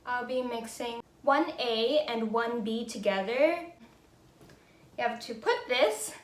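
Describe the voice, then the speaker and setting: A woman's voice: fairly high pitch, slow pace, echoey room. A young woman from the Philippines, a native speaker of Tagalog and English, chatting casually and thinking aloud as she vlogs at home, with frequent little laughs. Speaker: beauty vlogger. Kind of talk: casual vlog narration.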